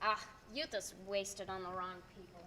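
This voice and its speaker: A woman playing a grumpy, impatient older neighbor in a radio play, heckling loudly. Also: medium pitch, steady tone, slight background noise, close to an everyday voice. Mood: exasperated. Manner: loud, drawn-out heckling.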